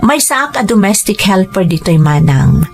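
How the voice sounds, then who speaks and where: A woman's voice: mid-range voice, very animated, normal speed, gravelly. A woman with an Ilocano accent hosting a story programme, reading out greetings and letters from listeners. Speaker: storyteller and host. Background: background music.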